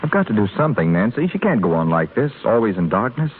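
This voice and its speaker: A man's voice: on the low side, sing-song, fast, over music. An actor playing an impulsive, earnest young rich man in a 1930s radio drama, hesitant and urgent by turns. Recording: muffled scratchy 1930s radio broadcast.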